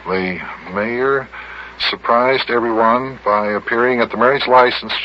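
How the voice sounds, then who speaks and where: A man playing a genial, sensible grown-up host in an old-time radio comedy, courteous and measured. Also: medium pitch, steady tone, natural voice. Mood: reading aloud. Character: genial, sensible grown-up radio pal named Big Jon. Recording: dull, noisy vintage radio recording.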